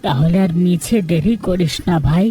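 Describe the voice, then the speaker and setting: Cartoon casting: caring, honest elder brother from a village played by an actor, mellow, earnest delivery, music underneath. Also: medium pitch, moderate pace, slightly rough, close to an everyday voice. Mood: earnest, concerned. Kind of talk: dramatic Bengali cartoon story dialogue.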